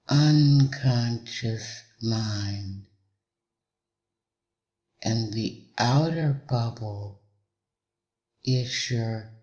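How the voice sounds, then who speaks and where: Guy doing hypnosis narration, super slow n deliberate w long pauses, average pitch, bit muffled lo-fi audio. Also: a little mellow. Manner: measured, deliberate, with long pauses between short phrases.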